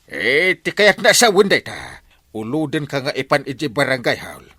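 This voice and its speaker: An actor with an Ilocano accent playing a boastful, bossy middle-aged village captain in a radio comedy drama. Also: male voice, medium pitch, sing-song, bright, forceful tone, husky, no room sound, studio quality, heavily acted. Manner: blustering, bossy, quick to laugh.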